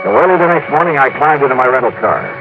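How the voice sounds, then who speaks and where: A man's voice: medium pitch, expressive, racing, music in the background, natural voice. An actor playing a self-assured, wry insurance investigator in a 1950s radio drama, clipped and confident, narrating his case and talking it through. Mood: brisk narrating. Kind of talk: 1950s radio detective drama, first-person narration and dialogue. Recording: noisy, dull old-time radio recording.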